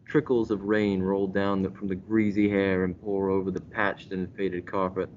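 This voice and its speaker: A young man playing an ominous, melodramatic announcer, narrating a radio mystery in a deliberate, dramatic way. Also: little pitch movement, darkish tone, lightly acted. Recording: dull lo-fi recording with rumbling noise.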